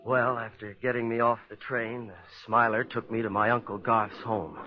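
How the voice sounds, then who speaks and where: An actor playing an earnest young prisoner in a 1940s radio drama. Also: male voice, medium pitch, flat delivery, normal speed, soft background music, close to an everyday voice. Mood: recounting. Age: young adult. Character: earnest young man, a handcuffed prisoner protesting innocence. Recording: dull lo-fi 1940s radio recording.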